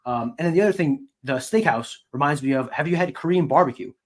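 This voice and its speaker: A man's voice: on the high side, fast, a crowd in the background. A man chatting casually as a co-host on a podcast. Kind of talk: casual chat.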